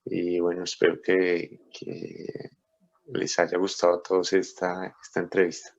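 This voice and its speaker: Deep, flat, gravelly male interviewer. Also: unhurried, muffled recording. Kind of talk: closing an interview.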